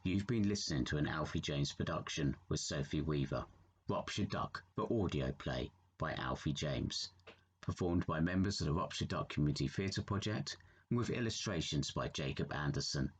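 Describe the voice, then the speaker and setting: A man's voice: rumbling low, expressive, moderate pace. A man reading a scripted credits announcement.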